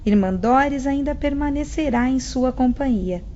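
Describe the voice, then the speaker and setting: A woman's voice: high voice, normal speed, dark tone, close to an everyday voice. A woman with a Brazilian accent playing a gracious, serene young spirit director in an audio drama. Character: gracious, serene female spirit director, looking about thirty. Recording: muffled, clearly noisy audio.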